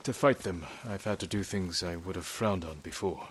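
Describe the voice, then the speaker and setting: An actor playing a stoic, honourable samurai lord in a video game, restrained and serious in manner. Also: male voice, sits low, moderate pace, slight background noise, close to an everyday voice. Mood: firm. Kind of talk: dramatic video game acting.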